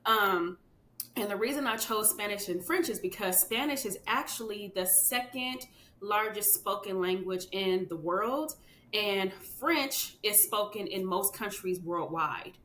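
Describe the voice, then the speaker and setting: A woman's voice: animated, measured pace, sharp tone. A woman talking casually as a podcast guest, chatty, with many fillers and "you know what I mean" asides. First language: English. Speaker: podcast guest, children's book author. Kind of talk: casual interview chat. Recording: echoey room with faint rumble.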